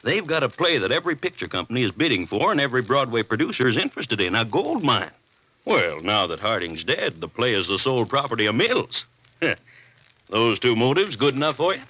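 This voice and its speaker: An actor playing an eager, blustery small-town sheriff in a 1940s radio drama, emphatic and folksy. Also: male voice, mid-range voice, very animated, brisk, sharp tone, breathy edge, no echo, full character voice, low-quality recording. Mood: eager, confident.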